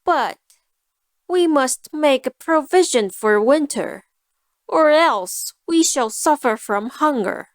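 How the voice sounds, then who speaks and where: A woman's voice: sits high, expressive, slow pace, no echo, studio quality. A woman voicing a sly, self-serving female cat in a storybook, with a cool, persuasive manner.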